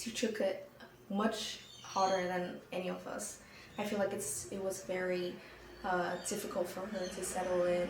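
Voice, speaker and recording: A young woman from Vietnam, speaking in an interview in a steady, reflective way. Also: on the low side, echoey room, distant mic, slight background noise, poor audio. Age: young adult. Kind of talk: casual personal interview.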